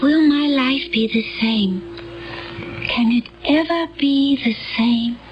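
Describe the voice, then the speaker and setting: An actress playing a serene, remote woman accused of murder in a radio drama, with formal, deliberate phrasing. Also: sits high, dull audio, soft background music. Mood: troubled, distant. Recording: band-limited old radio drama recording.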